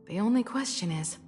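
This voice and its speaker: An actress playing a composed, analytical woman adventurer in a sci-fi fantasy video game, speaking in measured, thoughtful sentences. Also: mid-range voice, darkish tone, natural voice. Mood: reasoning, thoughtful. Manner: measured, thoughtful, articulate reasoning. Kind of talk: scripted video game character dialogue. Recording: long reverb with music underneath.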